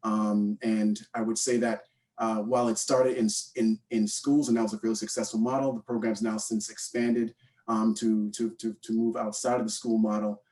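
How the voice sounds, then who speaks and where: Low-voiced Canadian man, hesitant delivery. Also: level tone, moderate pace, a little mellow, far from the mic, low-quality recording. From born in Guyana, raised in Canada from age eight. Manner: hesitant, often repeats words. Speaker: panel speaker, mentorship program co-founder. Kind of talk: informal unscripted talk.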